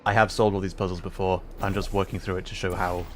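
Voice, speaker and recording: A man's voice: deep voice, flat delivery, fast talker. A man livestreaming a game, explaining puzzles casually and hesitantly, piece by piece as he thinks aloud. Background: faint noise and game ambience.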